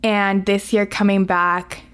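A woman's voice: medium pitch, level tone, takes it slowly, fairly bright tone, clean tone. Chatty teenage girl, native Spanish speaker. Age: late teens, high school student. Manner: chatty, informal, frequent "like". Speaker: student guest on a school podcast. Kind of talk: casual conversational interview.

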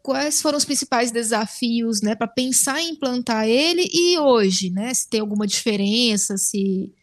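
A woman's voice: a little high, unhurried. A Brazilian woman hosts an interview, courteously thanking guests by name and putting questions to them. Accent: Brazilian Portuguese. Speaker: host, interviewer.

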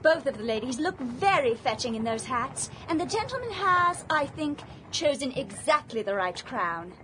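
An actress playing a deferential, flattering shopkeeper in a period audio drama, with a salesy manner. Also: female voice, sits high, moderate pace, a little hiss or hum, full character voice. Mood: obliging. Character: obliging, flattering female Victorian hat shop keeper.

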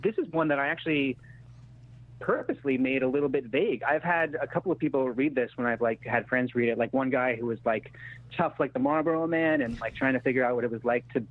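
A man's voice: mid-range voice, very animated, quick pace. Male interview guest, candid and conversational, self-correcting as he talks, narrow phone-like audio. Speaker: actor-writer, interview guest. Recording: thin phone-like radio sound.

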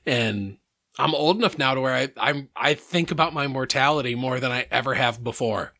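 A man's voice: mid-range voice, normal speed, sharp tone, no room sound, studio quality. A man in his early forties talking candidly on a podcast, swearing freely and laughing often. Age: 40s. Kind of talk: casual chat.

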